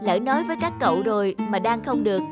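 A woman's voice: medium pitch, lively intonation, quick pace, over loud music, close to an everyday voice. A woman voicing an earnest, apologetic schoolboy in a cartoon, explaining himself. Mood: earnest, sheepish. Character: earnest, apologetic schoolboy, devoted big brother. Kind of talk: cartoon dialogue.

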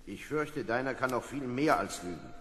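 A man's voice: medium pitch, big pitch swings, moderate pace, fairly bright tone. A man playing a stern, commanding spaceship officer in a German audio drama, with brusque, authoritative diction. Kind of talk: 1970s German children's audio drama acting. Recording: reverberant vintage audio drama.

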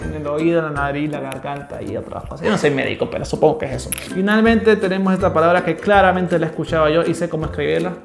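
Playful young man, gravelly voice. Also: fairly high pitch, quick pace, hardly any pauses. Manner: playful, teasing, laughs often. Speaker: host. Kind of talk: casual vlog chat. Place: living room, on a sofa. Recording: echoey room with faint music.

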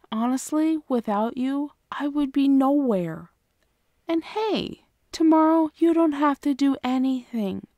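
Woman acting as sweet bossy girlfriend for asmr roleplay, highish voice, takes her time, tender and protective. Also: darkish tone, no room sound, clean studio sound. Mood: loving, insistent. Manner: tender, coaxing, unhurried. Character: affectionate, protective soft-dominant young girlfriend, doting and gently bossy.

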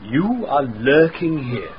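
An actor playing a sharp young gentleman sleuth in a radio mystery, probing and dryly sceptical. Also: medium pitch, sing-song, slow pace. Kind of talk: dramatic radio acting. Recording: dull, faintly noisy radio recording.

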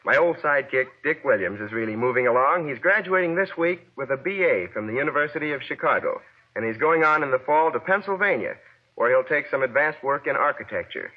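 A man's voice: medium pitch, expressive, brisk. Young man on dull old-time radio. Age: young adult. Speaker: guest correspondent, former child quiz contestant. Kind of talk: scripted 1940s radio news roundup. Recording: dull lo-fi vintage 1940s radio broadcast.

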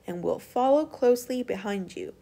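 A woman's voice: a little high, animated, normal speed, gravelly, mellow, slight background noise. Woman as a kind, courteous fishing sailor. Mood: friendly, sympathetic. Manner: friendly, courteous.

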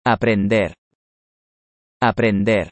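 A man reading a vocabulary list in a measured way, saying each word twice for learners. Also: on the low side, no echo. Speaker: Spanish vocabulary reader.

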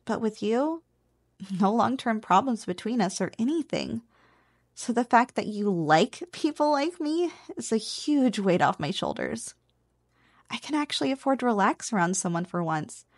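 Woman acting a teasing, high-voiced slime girl. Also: lively intonation, moderate pace, no room sound, studio quality. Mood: candid, soft. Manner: playful, coaxing, intimate address to the listener. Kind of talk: ASMR roleplay.

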